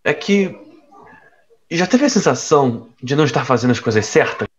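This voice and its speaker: A man's voice: medium pitch, expressive, normal speed, dull audio, slight background noise, lightly acted. A man playing a troubled, restless boyfriend in an audio drama, speaking hesitantly and evasively. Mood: uneasy. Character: troubled, restless man confiding in his girlfriend.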